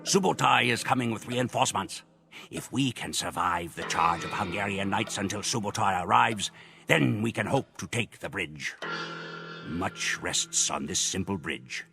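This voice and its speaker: A man playing a proud Mongol warrior who solemnly narrates grand conquests in a measured, theatrical way for a game. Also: on the low side, creaky, over music. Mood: grave, resolute. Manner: measured, theatrical storytelling delivery. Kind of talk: dramatic scripted narration.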